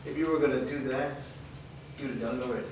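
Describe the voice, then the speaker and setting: A man's voice: mid-range voice, fast, lightly acted. A man playing an adult live-in boyfriend with a drinking habit in a staged drama reading. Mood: cold, taunting. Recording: echoey room, distant mic, clear noise.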